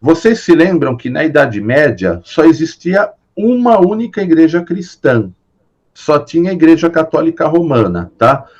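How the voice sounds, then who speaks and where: A man's voice: on the high side, sing-song, normal speed, a little mellow. Brazilian guy teaching philosophy online, lots of "né?" and "é", kinda muffled lo-fi sound. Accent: Brazilian Portuguese. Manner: explanatory, many fillers and tag questions. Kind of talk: online class lecture.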